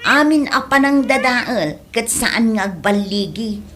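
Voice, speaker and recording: Woman playing village gossip, fairly high voice, Ilocano accent, chatty, radio comedy drama, slightly noisy. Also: lively intonation, unhurried, fairly bright tone. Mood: gossipy, concerned.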